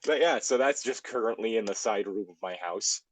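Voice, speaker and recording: A man's voice: lowish voice, expressive, brisk, no echo. A man co-hosting a podcast, chatting casually and joking in a wry way over an online call. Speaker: podcast co-host. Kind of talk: casual joking group chat.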